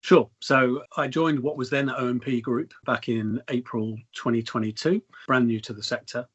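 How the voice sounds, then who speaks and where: A middle-aged man, a company chief executive, answering interview questions in measured corporate phrasing full of fillers. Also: mid-range voice, fairly even intonation, slightly rough. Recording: dull lo-fi sound.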